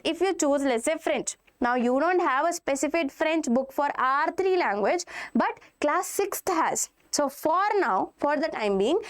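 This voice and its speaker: A woman teacher with an Indian English accent walks students through new rules. Her voice sits high. She keeps asking "okay?" and "right?" as she goes. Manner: addresses students directly, checks in with "okay" and "right". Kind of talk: explaining a school policy update to students.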